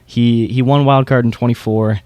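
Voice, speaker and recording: A man's voice: medium pitch, fairly even intonation, moderate pace, a little mellow, clear, resonant tone, no echo. A man hosting a podcast, talking through competitors and his opinions in a casual, informal way. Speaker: podcast host. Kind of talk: casual discussion of beatbox competitors.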